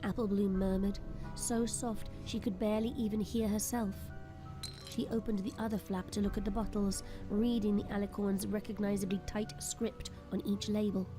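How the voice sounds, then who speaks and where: A woman's voice: medium pitch, flat delivery, moderate pace. Woman narrating suspenseful drama over music. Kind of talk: dramatic scripted story narration.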